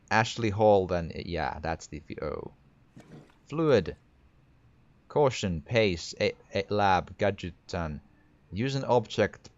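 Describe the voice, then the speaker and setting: A man's voice: low-pitched, dull audio. A man with a Finnish accent narrating his horror game playthrough, thinking aloud with frequent stammering restarts. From Finland. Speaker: gaming video creator. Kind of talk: horror game let's play commentary.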